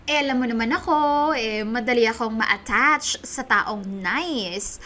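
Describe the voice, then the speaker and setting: Bright high bubbly Filipina student. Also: female voice, unhurried. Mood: pleading. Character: bubbly, teasing, persuasive young female student. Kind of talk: radio drama dialogue.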